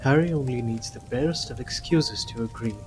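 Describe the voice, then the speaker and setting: A man narrating a scripted audio drama as a measured, descriptive storyteller. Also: medium pitch, over music, lightly acted, rough recording.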